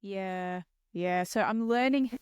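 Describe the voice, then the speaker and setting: A woman's voice: mid-range voice, slow pace, a little mellow, clear, resonant tone, no echo, clean studio sound. A woman from Melbourne with an Australian accent, hosting a podcast in a chatty, self-deprecating way. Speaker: podcast host. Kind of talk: casual podcast conversation.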